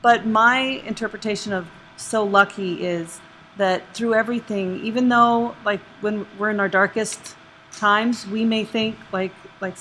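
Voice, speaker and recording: A woman's voice: mid-range voice, steady tone, a little slow, fairly bright tone, slight background noise, low-quality recording. A woman in the audience sharing her reflections casually. She speaks earnestly and rambles a bit, using many fillers. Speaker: audience member.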